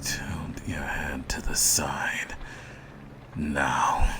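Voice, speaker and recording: A man playing a sadistic, possessive vampire master in an ASMR audio roleplay, breathy and whispery up close, with a smug, taunting purr. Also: rumbling low, flat delivery, heavily acted. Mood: hungry, possessive. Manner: slow, taunting, menacing purr. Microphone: close microphone.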